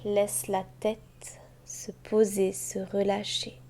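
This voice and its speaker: A woman guiding a yoga nidra meditation in a soft, breathy, near-whispering voice, gently and unhurriedly, with long pauses between short phrases. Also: mid-range voice, completely flat delivery, a little hiss or hum. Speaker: guided meditation teacher and host. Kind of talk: guided yoga nidra meditation, slow and soothing. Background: soft music with chimes.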